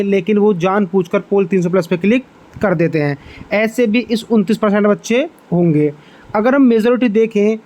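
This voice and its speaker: A man hosting an informational exam update, explaining figures step by step and addressing viewers directly. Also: high-pitched. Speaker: exam-update channel host.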